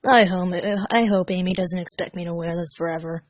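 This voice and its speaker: A woman's voice: on the low side, a little fast, no room sound, lightly acted. Grumpy but caring young hedgehog guy for a storybook reading, blunt and earnest, read by a woman. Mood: flat, annoyed.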